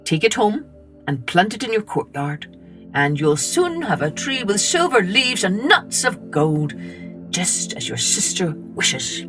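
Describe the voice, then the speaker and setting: A woman voicing a kindly little old man in a children's storybook, giving cautioning, measured guidance. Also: mid-range voice, big pitch swings, music in the background. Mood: instructing.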